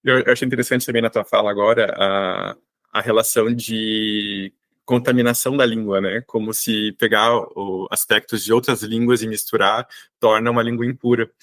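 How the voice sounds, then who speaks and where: A Brazilian man hosting an interview, speaking in a thoughtful, measured way with a few hesitations. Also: on the low side, steady tone, a bit bright, no echo. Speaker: podcast host. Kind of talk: conversational interview.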